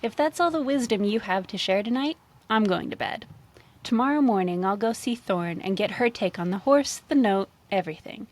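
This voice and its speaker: A woman's voice: mid-range voice, lively intonation, normal speed, close to an everyday voice. A woman reading the part of a sceptical young female shopkeeper in a fantasy story, wry and questioning.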